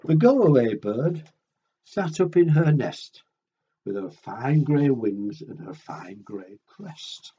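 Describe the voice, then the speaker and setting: A man tells a children's story in an unhurried, husky voice. He is very expressive, his pitch rising and falling as he breaks off for chatty asides, and the recording is slightly muffled. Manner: expressive, conversational, addressing young listeners. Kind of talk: picture-book reading with chatty asides.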